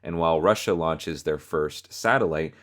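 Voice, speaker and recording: A man's voice: rumbling low, normal speed. A man hosting a video, narrating and explaining a fictional horror story.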